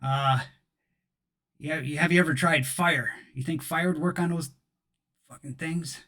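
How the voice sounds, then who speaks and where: A man's voice: fairly high pitch, fairly even intonation, measured pace, pushed and bright. A man playing a tabletop game casually, improvising and swearing as he talks. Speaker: player.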